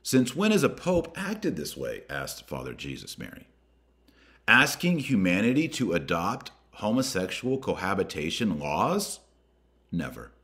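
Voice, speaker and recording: A man hosting a podcast, reading a document aloud with emphatic, deliberate delivery and adding his own commentary. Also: deep voice, wildly swooping pitch, fairly bright tone. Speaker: podcast host.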